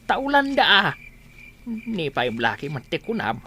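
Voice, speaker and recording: A woman's voice: lowish voice, big pitch swings, slow, fairly bright tone, a little hiss or hum, exaggerated voice. A woman playing a plain-spoken, grumbling old village father in a radio comedy drama. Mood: practical.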